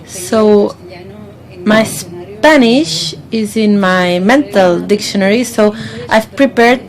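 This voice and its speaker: Lady doing live conference interpreting, halting, lots of little pauses and uhs. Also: mid-range voice, low-quality recording. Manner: halting, pausing mid-phrase with fillers. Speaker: simultaneous interpreter.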